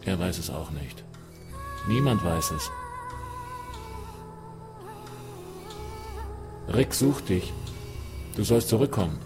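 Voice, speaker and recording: A man's voice: low voice, sing-song, unhurried, over music. A man acting in a radio drama as a fatalistic, sick man who answers tersely and plainly. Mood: resolute, resigned. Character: fatalistic, resigned man living with a deadly virus.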